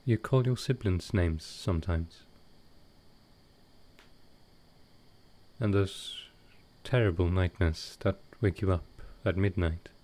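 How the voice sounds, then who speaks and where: A gentle vampire lord of an intimate audio roleplay, acted by a man. His voice sits very low and he speaks very slowly. He is tender and hesitant, a soft-hearted host who carries grief and offers comfort. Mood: tender, reassuring.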